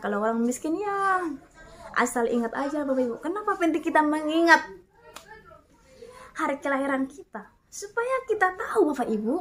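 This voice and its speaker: A woman in her thirties giving an animated, casual sermon-style talk, often addressing her listeners as "Bapak Ibu" and reading verses aloud. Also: high-pitched. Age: 30s. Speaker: online preacher.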